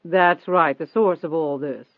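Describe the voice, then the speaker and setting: A man voicing a persistent, confident newspaper reporter in an audiobook, steady, probing and insistent. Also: a little high, measured pace, no room sound. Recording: thin phone-like band-limited audio.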